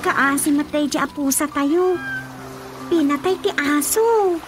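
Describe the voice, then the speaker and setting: Very high-pitched excitable young girl. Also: measured pace, over music. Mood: earnest. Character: young daughter, excitable, tender-hearted pet lover. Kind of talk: radio comedy drama acting.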